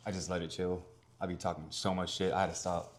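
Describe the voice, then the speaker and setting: Deep-voiced man with a Vietnamese-tinged accent. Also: little pitch movement, quick pace, a little hiss or hum. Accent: Vietnamese-tinged English. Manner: laid-back, rambling, lots of fillers. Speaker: guest content creator. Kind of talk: casual podcast chat.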